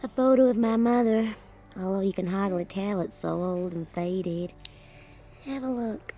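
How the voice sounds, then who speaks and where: A woman's voice: mid-range voice, measured pace, dark tone, faint music behind, close to an everyday voice. An actress playing a tender, teasing young mother and captive starlet in an intimate radio drama, languid and playful in manner. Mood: wistful.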